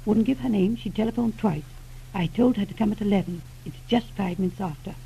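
An actress playing a detective's loyal, easily shocked secretary in a 1940s radio drama, exclamatory and theatrical. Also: female voice, mid-range voice, a little fast, vocal fry, warm tone, slightly rough. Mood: efficient. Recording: dull vintage radio recording.

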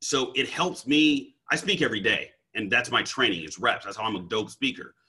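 A man talking casually and energetically in an interview about his work as a coach and speaker, with a deep voice and frequent restarts and stammers. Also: expressive, a little fast, bright, forceful tone, breathy edge. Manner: slangy, says "bro".

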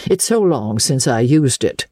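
A woman's voice: rumbling low, big pitch swings, measured pace, darkish tone, slightly rough, dry recording, studio quality, heavily acted. A woman voicing a stern, crusty old lady in a storybook reading, blunt and old-fashioned in manner. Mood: grudgingly amused.

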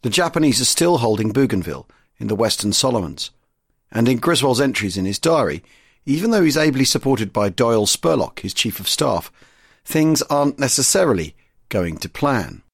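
A man presenting a history podcast, reading scripted narration in a husky voice. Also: mid-range voice, sing-song, moderate pace, dry recording, clean studio sound.

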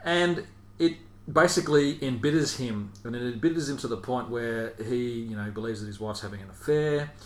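A man's voice: medium pitch, moderate pace. A man hosting a casual chat podcast, rambling and hesitant as he talks. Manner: rambling, hesitant, chuckles while reading aloud. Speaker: podcast host. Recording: echoey room with faint hiss.

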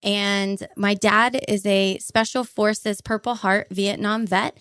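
A woman's voice: mid-range voice, fairly even intonation, takes it slowly, a bit bright, dry recording. A young adult woman from Hawaii, enthusiastically recounting her life story as a guest in an interview. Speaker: podcast guest.

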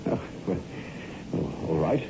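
A man's voice: unhurried, heavy background noise, natural voice. Guy acting anxious hesitant doctor, old 60s radio drama, dull lo-fi sound. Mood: uneasy, polite. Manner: hesitant, nervous. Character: uneasy, anxious male doctor, increasingly desperate.

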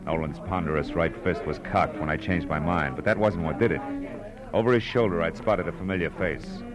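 An actor playing a wry, hard-boiled private eye with a dry, clipped delivery in 1940s radio noir style. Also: male voice, deep voice, brisk, over loud music, close to an everyday voice. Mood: wry, brooding.

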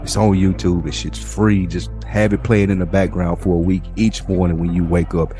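Deep-voiced man chatting on a podcast. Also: level tone, moderate pace, mellow, faint music behind. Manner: laid-back, rambling, swears freely. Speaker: podcast co-host. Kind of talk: casual podcast chat.

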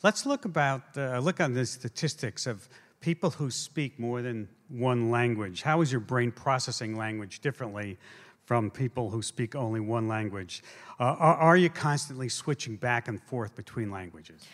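A man's voice: mid-range voice, moderate pace, fairly bright tone. A man interviewing a guest on a theater stage before a live audience, asking casual questions with restarts. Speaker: interviewer, show host. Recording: reverberant theater hall.

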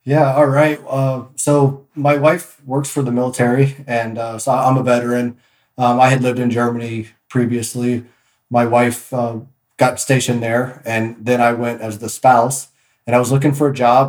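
A man's voice: mid-range voice, little pitch movement, normal speed, a little mellow, roomy sound. A man guest on a podcast, telling stories about his work in a casual, rambling way with many restarts. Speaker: podcast guest, real estate agent. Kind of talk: casual interview storytelling.

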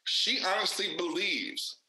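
A man's voice: high-pitched, unhurried, bright, forceful tone, echoey room, low-quality recording. A man, an actor and director, speaking candidly and emphatically in a casual interview, with many fillers and restarts.

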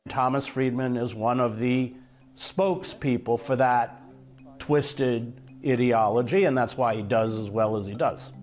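A man who was a foreign correspondent, speaking in an interview in a forceful, indignant way. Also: mid-range voice, fairly even intonation, measured pace, soft background music.

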